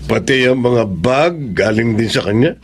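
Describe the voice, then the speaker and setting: A man playing a wary middle-aged father who gently questions his child in a Filipino radio drama. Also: medium pitch, normal speed, close to an everyday voice. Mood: wary, curious.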